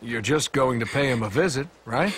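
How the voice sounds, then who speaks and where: Bright, brash young hunter. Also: male voice, medium pitch, moderate pace, breathy edge. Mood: cocky. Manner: cocky, animated, colloquial. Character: brash, flippant young male gun-for-hire in a game.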